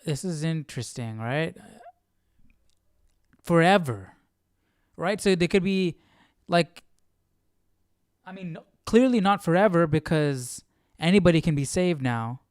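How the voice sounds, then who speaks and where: A man's voice: on the high side, animated, slow pace, pauses often, darkish tone, clear, resonant tone, dry recording, studio quality. A man hosting a casual Bible study stream, thinking aloud in a questioning way and often repeating and rephrasing himself as he reasons. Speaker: livestream Bible study host.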